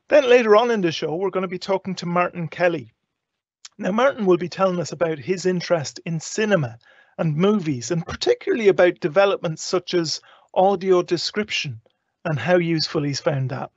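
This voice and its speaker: A man's voice: on the high side, creaky, dry recording. An Irish man hosting a live online show, speaking as presenter to guests and viewers. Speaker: show host. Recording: dull, close lo-fi online audio.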